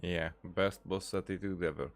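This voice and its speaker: A man's voice: sits low, steady tone, moderate pace, soft background music. A man giving casual let's-play commentary with offhand, muttered reactions as a gaming streamer.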